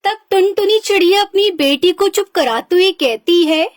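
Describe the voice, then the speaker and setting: A woman's voice: very high-pitched, normal speed, dry recording, clean studio sound. A woman narrating a children's cartoon story from a script.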